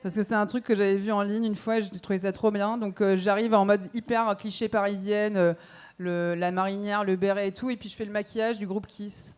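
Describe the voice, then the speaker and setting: A woman's voice: medium pitch, steady tone, fairly quick, darkish tone, clear, resonant tone. A woman in her thirties from Belgium, chatting casually on a dating show, laughing often and using lots of fillers. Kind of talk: casual chat.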